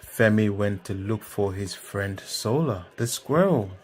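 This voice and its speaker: A man's voice: fairly low pitch, animated, unhurried, a little mellow. A man narrating a scripted children's story as a storybook reader. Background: music.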